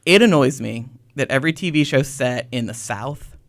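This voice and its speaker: A man's voice: mid-range voice, expressive, normal speed. A man hosting a podcast, chatting casually and joking with an opinionated, rambling manner and many "like"s. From Boston area. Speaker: podcast host. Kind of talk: casual interview chat.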